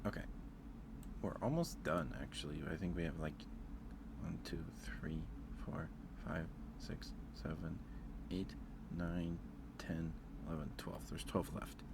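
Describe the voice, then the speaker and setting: A soft-spoken man hosting a casual ASMR stream, chatting with viewers and musing aloud in a hesitant way. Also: deep voice, unhurried, creaky, noisy background.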